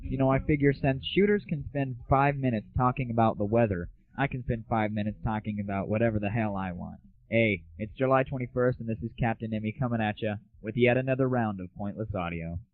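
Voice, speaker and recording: A man's voice: mid-range voice, fairly quick, hardly any pauses. Sarcastic man ranting, tinny lo-fi audio. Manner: crude, sarcastic. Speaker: internet audio show host. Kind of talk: casual comic rant. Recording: narrow, tinny lo-fi internet audio.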